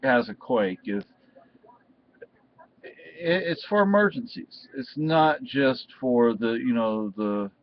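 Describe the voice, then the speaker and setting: A man's voice: medium pitch, expressive, muffled recording, a little hiss or hum. A middle-aged American man talking casually off the cuff, rambling and often restarting his sentences. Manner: rambling, halting with repeated restarts. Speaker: video reviewer. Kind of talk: casual unscripted talk.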